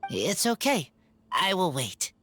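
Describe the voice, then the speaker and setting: An actor playing a shy, earnest teenage boy raised by wolves, speaking in halting broken sentences in an anime game. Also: high voice, big pitch swings, pushed and bright, exaggerated voice. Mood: hesitant. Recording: music behind the voice.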